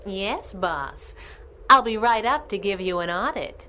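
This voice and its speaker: An actress playing a cocky, sassy superhero sidekick in a pulp radio drama, with a cheeky, teasing manner. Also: female voice, mid-range voice, lively intonation, a little slow, bright, forceful tone, slight background noise. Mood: cheeky, brisk. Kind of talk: radio drama acting in a pulp serial style.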